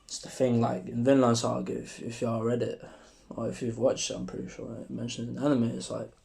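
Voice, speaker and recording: Young man with a sore throat. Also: creaky. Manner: rambling, profane, relaxed and deliberately deeper delivery. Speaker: vlogger. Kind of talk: casual opinion monologue to camera.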